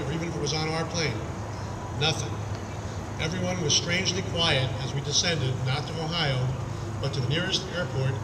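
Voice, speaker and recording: A man from the United States reads a prepared memorial tribute in a measured, solemn way, recalling his own experience. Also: a little high. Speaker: speaker at a memorial service. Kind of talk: prepared tribute speech read aloud, first-person recollection. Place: outdoors on a town green at a public service. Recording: roomy, distant mic with loud outdoor noise. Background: loud ambient noise with vehicles.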